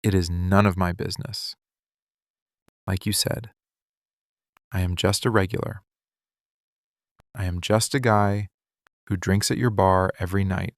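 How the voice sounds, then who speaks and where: A man acting a possessive, wounded mafia boss in an intimate boyfriend ASMR roleplay, speaking in controlled, deliberate, intense phrases. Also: low voice, little pitch movement, no echo, clean studio sound. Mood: cold, resigned. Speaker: ASMR voice actor.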